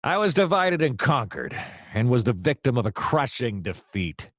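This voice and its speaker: A man's voice: medium pitch, very animated, normal speed, no room sound, studio quality. A man playing a wry, self-pitying private detective in a noir radio play, narrating and speaking with theatrical flourish. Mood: melodramatic despair.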